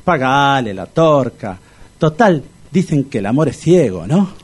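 Man playing grumbling older man, Rioplatense accent, raspy edge, lively swooping pitch, colloquial, radio comedy. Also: a little slow. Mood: resigned. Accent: Argentine, Rioplatense. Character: Tito, grumbling older Argentine man, blunt and chummy. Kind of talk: comic radio play acting.

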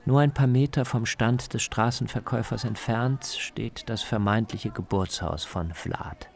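A man's voice: mid-range voice, measured pace, vocal fry, a little mellow. A man reading scripted narration as the reporter of a radio documentary.

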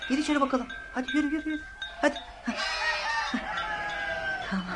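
Woman playing an eager high-voiced shepherd boy. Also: fast talker, loud music behind the voice, lightly acted. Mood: hurried. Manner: excitable, pleading. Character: eager young shepherd boy, dreamy and devout. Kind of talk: Turkish radio theatre acting. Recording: noisy radio drama recording.

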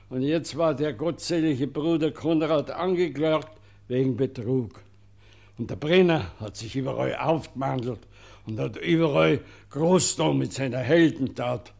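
A man's voice: a little high, creaky. A man playing a folksy, emphatic witness with a Bavarian accent, recounting events in a radio play. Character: folksy, emphatic male witness recounting old village scandal.

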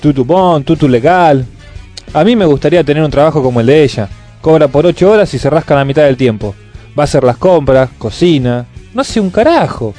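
A man's voice: fairly high pitch, lively intonation, fairly quick, dark tone, faint music behind, lightly acted. A man playing a stingy, self-righteous Buenos Aires businessman, indignant and blustering, in a comic radio play. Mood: indignant, griping. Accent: Buenos Aires Argentine. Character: grumpy stingy scheming middle-class businessman, self-righteous.

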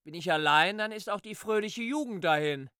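An actor playing a weary, genteel ageing former servant in a radio drama, plaintive and needling. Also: male voice, high-pitched, normal speed, pushed and bright, smooth, no echo, studio quality. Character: Jeremias, aged, weary, sickly-genteel former assistant, plaintively mocking.

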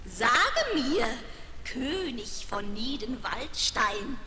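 A woman playing a spiteful, haughty wicked fairy in an audio fairy tale, with a scornful, cackling, drawn-out delivery. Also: a little high, animated, heavy background noise. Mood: scornful, menacing. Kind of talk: theatrical fairy tale audio play acting. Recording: reverberant hall on 1970s vinyl, faint music.

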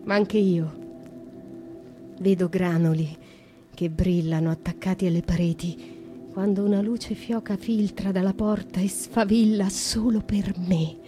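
An actress playing a brooding young noblewoman imprisoned in a dark cell, speaking in a lyrical, slow, reflective way in a radio drama monologue. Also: mid-range voice, fairly even intonation, faint music behind, close to an everyday voice. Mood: dreamy, wistful.

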